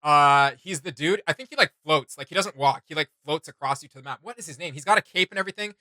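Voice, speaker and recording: A young man hosting a reaction video, chatting casually in an animated, rambling, slangy way. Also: fairly high pitch, fast, dry recording. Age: young adult. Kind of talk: casual enthusiastic reaction chat. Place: small indoor room with desk and shelves.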